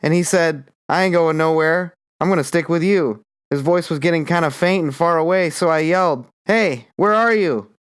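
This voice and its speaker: A man's voice: on the high side, steady tone, brisk, few pauses, clear, resonant tone, lightly acted. A man doing a folksy rambling soldier. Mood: wistful. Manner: rambling, drawling, deferential. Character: folksy young male rebel soldier spinning tall tales.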